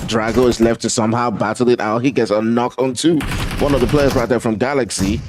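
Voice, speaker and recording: A man's voice: medium pitch, steady tone, heavy background noise. A man with a Nigerian accent doing live esports commentary, laid-back and joking. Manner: laid-back, joking, fills pauses with hums. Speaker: esports caster.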